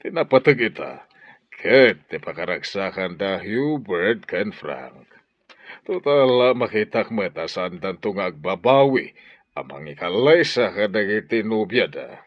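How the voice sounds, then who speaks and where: A man's voice: lowish voice, sing-song, a little slow, no room sound, lo-fi sound. A man playing an easygoing, conciliatory middle-aged father in a radio drama, with an Ilocano accent.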